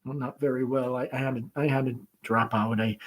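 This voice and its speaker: A man's voice: medium pitch, steady tone, lightly acted. Man reading as a shy, stammering young woman. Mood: shy, apologetic. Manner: halting, stammering, apologetic. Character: shy, flustered young woman of twenty-three. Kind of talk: amateur play read-aloud.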